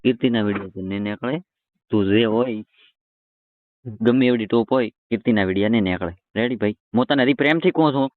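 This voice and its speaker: A man's voice: mid-range voice, fairly quick, dry recording. A man in a heated phone argument, talking in a colloquial, stubborn and confrontational way. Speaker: roast video creator taking a call. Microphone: phone call. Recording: tinny phone-line call recording.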